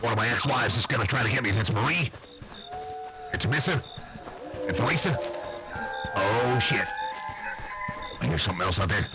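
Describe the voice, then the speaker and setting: A man's voice: fairly low pitch, normal speed, pauses often, over loud music, lightly acted, rough recording. A man voicing a blustery, nervous backwoods uncle with an American accent, rambling and swearing in an improvised comic monologue. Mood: agitated, wary. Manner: rambling, folksy, profane, excitable.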